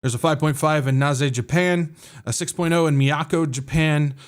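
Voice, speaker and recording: A man's voice: fairly high pitch, steady tone, normal speed, smooth. A man with an American accent hosting a podcast, sounding a little nasal from drainage, talking casually with lots of fillers and self-corrections. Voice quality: slightly nasal. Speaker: podcast host. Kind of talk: casual livestream talk.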